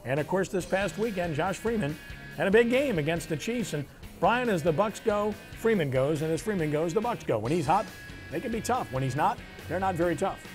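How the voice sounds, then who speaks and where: A man's voice: high-pitched, lively intonation, fairly quick, faint music behind. A man hosting a sports show, speaking in punchy, clipped announcer phrases. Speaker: sports talk show host. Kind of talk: sports show commentary and read promos.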